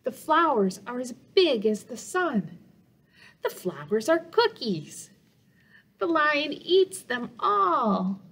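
A middle-aged woman, a children's librarian reading a picture book aloud in simple, deliberate phrases for young listeners. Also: high-pitched, sing-song, a bit bright. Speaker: children's librarian and storytime host. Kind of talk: children's storybook read-aloud. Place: small office room indoors.

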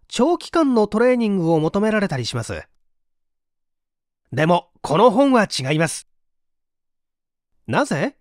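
An audiobook narrator reads scripted prose in clearly phrased, measured sentences. The pitch moves up and down in a lively way, and the sound is dry, free of room echo. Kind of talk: scripted book reading.

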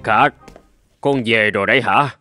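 A burly middle-aged cartoon father, acted by a man, firm and suspicious. His medium-pitched voice is recorded dry, with music underneath as he questions. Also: normal speed, sharp tone, dry recording, lightly acted. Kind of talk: animated cartoon dubbing.

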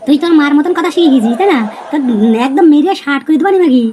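A man acts out a bossy young village husband for a comedy cartoon. The voice is high and races along very fast, brash and colloquial. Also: a loud crowd, very noisy. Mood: bossy, irritated. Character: scheming young husband, bossy, foul-mouthed village schemer. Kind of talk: amateur comedy cartoon dubbing.

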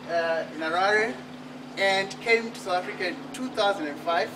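A man from Zimbabwe in his thirties giving an emphatic motivational speech at a book launch, posing rhetorical questions. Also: high voice. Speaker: author and speaker. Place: outdoor terrace at night. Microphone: far camera. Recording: distant camera with outdoor noise.

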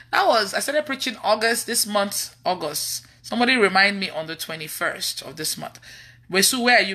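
A woman in her thirties with a Nigerian accent, preaching casually online in an animated, emphatic way and repeating phrases. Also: medium pitch. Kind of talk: casual testimony-style preaching on a livestream. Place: indoors in a room at home.

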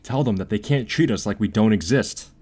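A man's voice: fairly low pitch, fairly quick, a little mellow, breathy edge. A man acting an earnest, shy young male newsboy who organizes the strike with reasoned talk.

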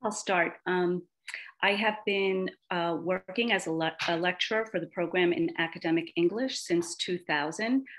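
Woman lecturer panelist, lowish voice, orderly delivery. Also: moderate pace, a bit bright. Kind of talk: casual panel talk on video call. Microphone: video call.

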